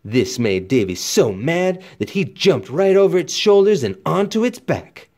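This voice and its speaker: A man's voice: a little high, very animated, a little slow, darkish tone. A man narrating a children's storybook expressively, with folksy, dramatic emphasis like a teacher reading aloud.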